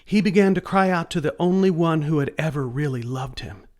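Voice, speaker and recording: A man narrating a scripted children's story in a deliberate read-aloud manner. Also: a little high.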